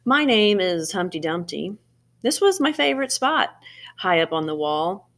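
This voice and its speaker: A woman voicing an earnest, anxious yet determined egg in an expressive picture-book read-aloud, with dramatic emphasis and pauses. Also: lowish voice, exaggerated voice. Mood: wistful.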